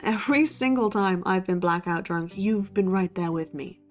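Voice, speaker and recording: A woman's voice: medium pitch, normal speed, faint music behind, natural voice. A woman playing a skeptical young college true-crime podcaster in an audio drama, with a dry, sardonic, analytical delivery. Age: young adult. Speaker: podcast co-host. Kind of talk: scripted banter in a horror audio drama.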